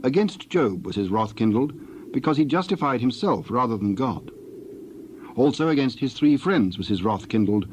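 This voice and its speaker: A man narrating dramatized scripture in a measured, formal manner. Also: medium pitch, darkish tone, a little hiss or hum.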